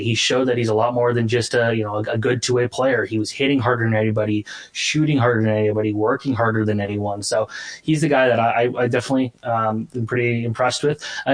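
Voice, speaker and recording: A man giving casual sports analysis as an interview guest, often correcting himself as he goes. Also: mid-range voice. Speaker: hockey analyst, guest writer.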